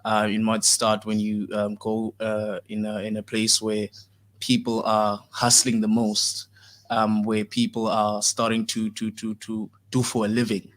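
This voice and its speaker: Hesitant young South African man, even pitch. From Mdantsane, South Africa. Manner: hesitant, halting, reserved. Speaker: radio guest. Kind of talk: casual radio interview chat.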